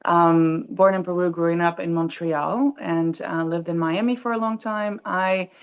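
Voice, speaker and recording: A woman inn manager, a native French speaker raised in the Dominican Republic, talking as a radio guest over a video call, laughing often and pausing with ums. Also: lowish voice, steady tone, clean tone. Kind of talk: casual radio interview guest. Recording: dull remote call audio.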